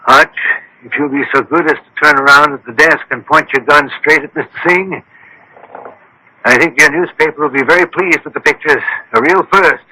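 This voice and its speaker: An actor playing a dry, unflappable detective in a radio drama, speaking in a measured, politely authoritative way. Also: male voice, a little high, pushed and bright, breathy edge, heavily acted, low-quality recording. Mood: coolly triumphant. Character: dry, unflappable male detective directing a trap.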